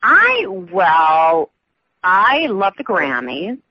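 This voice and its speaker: A woman from the American South with a softened Southern accent, an entertainment reporter chatting as a guest in a radio interview, upbeat and full of fillers. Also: medium pitch, wildly swooping pitch, drawn-out words, slightly rough, dry recording, lo-fi sound. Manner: chatty, upbeat, laughs often, many fillers.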